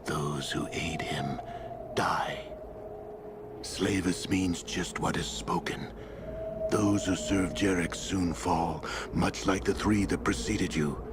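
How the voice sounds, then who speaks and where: A man's voice: low-pitched, slow pace. A man playing a menacing, ominous cult enforcer in a video game, speaking formally. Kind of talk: video game villain acting. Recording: loud music over the voice.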